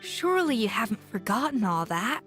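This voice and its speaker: An actress voicing a confident, seasoned female chef who mentors a younger cook, speaking in a brisk, scolding, self-assured way in a game cutscene. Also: fairly high pitch, big pitch swings, fairly bright tone, soft background music. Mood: stern.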